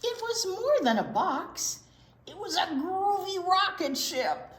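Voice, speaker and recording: A woman's voice: extremely high pitch, sing-song, slow pace, fairly bright tone. A woman reading a picture book aloud to young children as a storytime teacher, expressively drawing out key words and asking little questions. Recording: roomy, echoey indoor sound.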